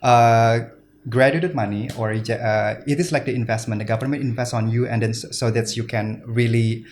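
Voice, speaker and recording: A man's voice: mid-range voice, steady tone, normal speed, a little mellow, slight background noise. A man from Indonesia answering interview questions earnestly, with many fillers and restarts. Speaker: guest speaker.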